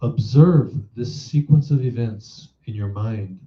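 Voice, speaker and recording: A man leading a guided meditation as a teacher, in a measured, instructive manner, posing reflective questions. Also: mid-range voice, dark tone. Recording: roomy echo, dull muffled sound.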